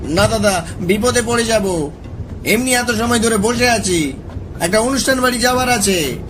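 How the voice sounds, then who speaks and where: A man's voice: high-pitched, moderate pace, noisy background, close to an everyday voice. A man playing an impatient, insistent barbershop customer in a cartoon. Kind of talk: animated horror story dialogue.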